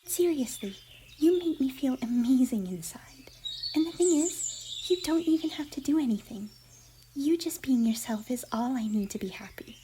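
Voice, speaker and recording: A woman voicing an affectionate, bubbly young cartoon pony in an ASMR roleplay, with a squeaky, breathy voice and a theatrical, tender manner. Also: animated, normal speed, warm tone. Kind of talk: ASMR fan fiction roleplay. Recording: noisy, birdsong and ambience behind.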